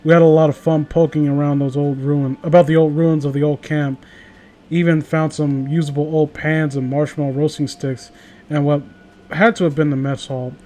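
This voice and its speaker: A man's voice: on the high side, little pitch movement, normal speed, warm tone. A man chatting casually among friends and reading stories aloud, stumbling over words as he reads. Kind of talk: casual group banter and amateur story reading.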